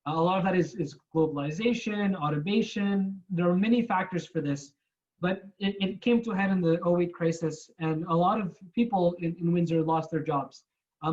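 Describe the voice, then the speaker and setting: A man's voice: high voice, lo-fi sound. A young man from Canada giving a frank, informal talk with slides, restarting his sentences and repeating words often. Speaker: guest speaker.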